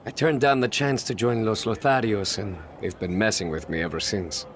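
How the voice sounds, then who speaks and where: Man as good-natured, low-voiced wrestler. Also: steady tone, normal speed, a little hiss or hum, natural voice. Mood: confiding. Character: calm, good-natured male lucha libre pro wrestler. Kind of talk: video game cutscene dialogue.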